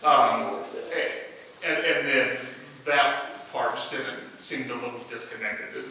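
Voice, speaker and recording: A man's voice: mid-range voice, steady tone, takes it slowly, sharp tone. A man giving casual, unscripted feedback as an audience member in a discussion. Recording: echoey room, distant mic, background noise.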